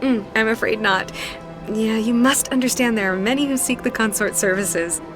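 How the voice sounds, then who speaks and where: An actress voicing a courteous, welcoming receptionist. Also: female voice, on the high side, normal speed, natural voice. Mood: polite. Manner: polite, welcoming. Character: polite female receptionist, courteous hostess. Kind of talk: video game voice acting. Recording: game audio over music.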